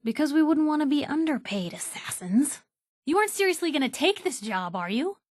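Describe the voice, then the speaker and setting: A woman's voice: sits high, big pitch swings, brisk, dry recording, studio quality. An actress playing a tenacious young American woman reporter in a post-apocalyptic video game, with sarcastic, snappy, streetwise banter. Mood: exasperated. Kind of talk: video game character dialogue.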